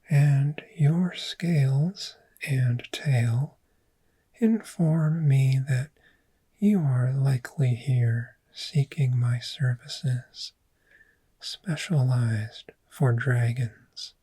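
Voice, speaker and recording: Casting: woman to play a calm dragon therapist for ASMR roleplay; mellow, whispery, extremely slow and measured delivery, reassuring. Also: very deep voice, full character voice. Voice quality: breathy, whispery. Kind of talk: soft-spoken ASMR roleplay.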